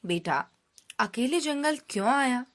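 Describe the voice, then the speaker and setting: Woman voicing a gentle, unhurried old woodcutter. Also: high-pitched, animated, voice pushed up. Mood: kindly. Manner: gentle, slow, advising. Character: kindly, wise old male woodcutter. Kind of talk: children's moral storytelling.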